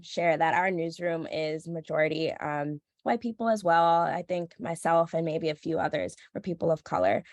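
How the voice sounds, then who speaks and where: Young woman, kinda low voice, stuffy nose from a cold, lots of ums, dry close sound. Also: no echo. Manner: earnest, many ums and likes. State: nasal from a cold. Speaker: panelist, social news reporter. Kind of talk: panel discussion answer.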